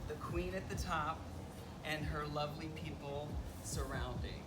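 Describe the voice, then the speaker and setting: A man's voice: a little high, a little slow, very noisy. A man speaking as a yoga teacher, leading a guided meditation in a soothing, earnest way with flowery wording. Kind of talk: guided meditation and spiritual toast. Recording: echoey room, loud music behind. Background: music.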